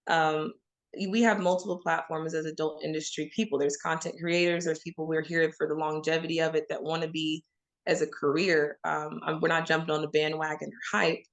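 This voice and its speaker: A woman's voice: low-pitched, flat delivery, a little fast. Frank, emphatic woman from Oklahoma. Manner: frank and emphatic, addresses listeners as y'all. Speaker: guest. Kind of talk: candid interview talk.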